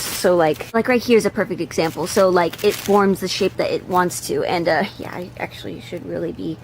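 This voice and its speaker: A woman's voice: fairly low pitch, a little mellow, slight background noise. A woman chatting casually as she hosts a sewing vlog, thinking aloud in a rambling, self-deprecating way. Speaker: video host and hobby historical seamstress.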